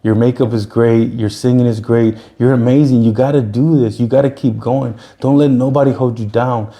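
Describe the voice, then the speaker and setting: Gravelly man in his forties, pep talk. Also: medium pitch, steady tone, normal speed, dark tone. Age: 40s. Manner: earnest, repeats himself, pep-talk style. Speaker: podcast host. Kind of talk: casual motivational chat.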